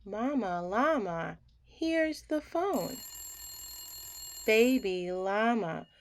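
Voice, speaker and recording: Slow, animated woman reading a storybook. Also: mid-range voice, slight background noise. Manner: theatrical, acts out emotions in the words. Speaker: children's storybook reader. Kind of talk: expressive bedtime read-aloud.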